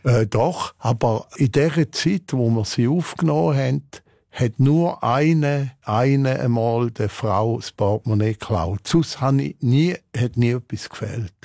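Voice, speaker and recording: Gravelly older Swiss German man. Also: medium pitch, mellow, dry recording, studio quality. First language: French. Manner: halting, with many restarts and fillers. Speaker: prison chaplain. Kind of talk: interview answers, storytelling.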